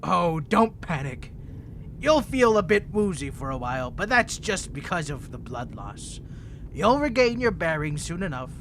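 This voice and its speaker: A man's voice: medium pitch, background noise. A man playing an insane, seductive vampire villain in a roleplay audio drama, speaking straight to the listener in a theatrical, coaxing, condescending way. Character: insane male vampire villain, seductive, menacing, toying with prey.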